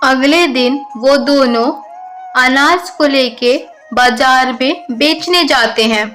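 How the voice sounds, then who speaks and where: A woman's voice: sits high, takes it slowly, fairly bright tone, soft background music. A woman narrating a scripted children's story as a storyteller.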